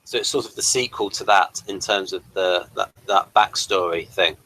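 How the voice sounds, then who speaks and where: A man's voice: low-pitched, normal speed, sharp tone, rough recording. A man hosting a casual review discussion, speaking in a hesitant, rambling and apologetic way. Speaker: host of a TV review show.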